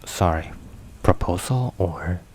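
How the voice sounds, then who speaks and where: A man playing a brooding but tender mafia boss boyfriend in an intimate ASMR roleplay, murmuring haltingly with hushed pauses. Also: deep voice. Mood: stunned, unsure. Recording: rain and thunder behind voice. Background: rain and thunderstorm ambience.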